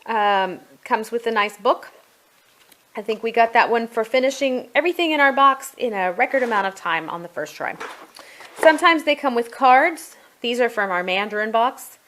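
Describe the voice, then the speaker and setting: A woman's voice: a little high, lively intonation, normal speed, fairly bright tone. A middle-aged woman talking casually and explaining things as she goes, like a parent showing products at home in a review. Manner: conversational, explanatory.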